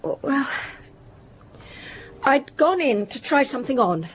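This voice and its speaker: An actress playing a proud, guilt-stricken young daughter of a well-to-do family in a radio drama, earnest and defensive. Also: female voice, high voice, very animated, takes it slowly, a little hiss or hum, exaggerated voice. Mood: confessional, upset. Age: young adult. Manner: earnest, defensive, faltering under questioning.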